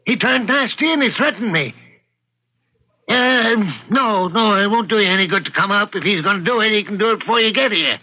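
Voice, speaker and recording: An actor playing a stern, suspicious, pedantic older husband of means in an old-time radio mystery. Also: male voice, high voice, brisk, pushed and bright, heavily acted, poor audio. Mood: indignant, insistent.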